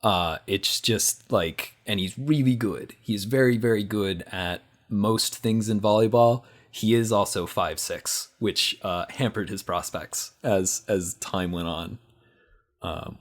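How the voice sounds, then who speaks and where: Casting: male game player, deep voice, conversational, thinking out loud in stops and starts. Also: measured pace. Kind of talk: casual, halting explanation of a character.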